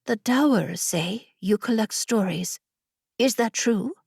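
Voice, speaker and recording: A woman voicing an eager, curious female story-seeker in a fantasy audiobook, earnest and excitable. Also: on the high side, takes it slowly, vocal fry, slightly rough, no echo, clean studio sound, heavily acted. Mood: eager, hesitant.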